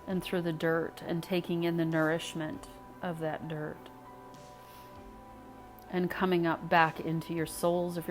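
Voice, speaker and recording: A woman leading a guided meditation in a soothing, unhurried way, speaking phrase by phrase with pauses. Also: sits low. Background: music.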